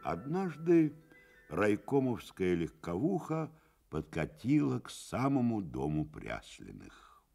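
A man's voice: fairly low pitch, huge pitch swings, slow, vocal fry, dark tone, faint music behind, heavily acted. A man narrating a literary radio drama about a postwar village. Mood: measured narration.

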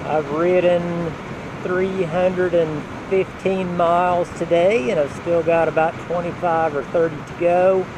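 A man narrating his motorcycle ride from a helmet mic in a relaxed, unhurried way, with wind and engine noise. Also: high-pitched. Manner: relaxed, unhurried, talks while riding. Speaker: motovlogger. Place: on a motorcycle outdoors. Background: road and wind noise.

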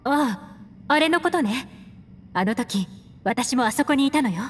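An actress playing a composed, worldly and enigmatic woman investigator in a video game, with poised, measured speech. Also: sits high, lively intonation, a little hiss or hum. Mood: grave, pensive. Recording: long hall reverb, music behind. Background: music.

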